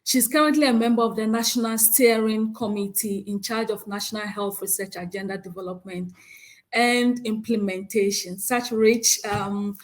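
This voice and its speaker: A woman with a Ghanaian accent chairs a conference session and reads out a speaker's biography, with frequent hesitant pauses. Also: mid-range voice, steady tone, rough recording. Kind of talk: conference moderation, reading a speaker bio.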